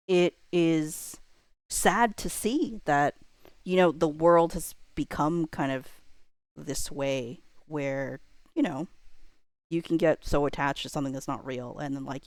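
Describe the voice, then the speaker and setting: A woman's voice: sits low, dry recording. A millennial woman livestreamer chatting casually and reflectively to viewers, rambling and hedging as she lets thoughts trail off. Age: millennial, around 30s.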